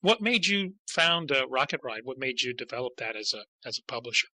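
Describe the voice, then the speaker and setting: Male podcast interviewer, conversational, sharp bright tone, close dry recording. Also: medium pitch, animated, fairly quick, no echo. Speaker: podcast host interviewing a guest.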